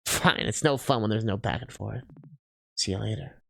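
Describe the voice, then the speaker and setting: A man's voice: on the low side, normal speed, gravelly, pitched below the performer's own voice. A man voicing a smug, teasing rival in a fantasy story, with a drawling, taunting manner.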